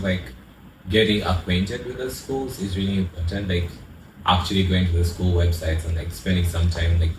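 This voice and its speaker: A man's voice: sits low, a little mellow. A young man from Uganda, a college freshman speaking as a panel guest, hedging thoughtfully with "like" and "kind of". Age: young adult. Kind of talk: casual panel chat. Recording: roomy, from a distance, faint noise.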